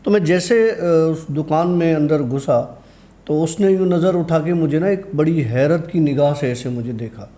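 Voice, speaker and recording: Mellow, higher-pitched man telling a personal tale. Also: normal speed. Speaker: guest storyteller. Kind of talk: conversational personal horror anecdote.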